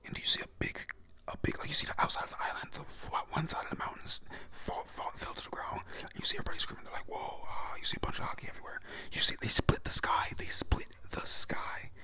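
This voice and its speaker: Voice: fast talker, sharp tone. A voice whispering a casual, profane recap of an anime episode, often stumbling over names and restarting sentences. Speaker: recap narrator.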